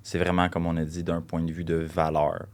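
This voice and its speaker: Deep québécois dude, blunt, swears a lot, jokey podcast talk. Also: male voice, completely flat delivery, normal speed, a little mellow. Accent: Québécois French. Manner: blunt, joking, swears freely. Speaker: podcast co-host, coaching business owner. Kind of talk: casual chat.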